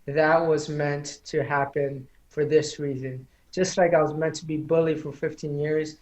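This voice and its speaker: An earnest man whose voice sits a little high, giving motivational advice as a podcast guest. He speaks in a speech-like, encouraging way, and English is not his first language. The sound is echoey, as if he is in a bare room. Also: flat delivery, unhurried, a little mellow. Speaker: interview guest, public speaking coach. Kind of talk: casual interview chat.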